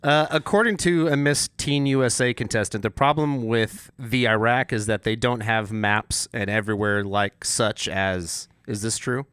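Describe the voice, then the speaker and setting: A man's voice: on the low side, moderate pace, hardly any pauses, fairly bright tone, clear, resonant tone, no echo. A man co-hosting a podcast in casual banter, teasing his guest with mock-serious remarks. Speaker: podcast co-host. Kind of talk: casual joking interview banter.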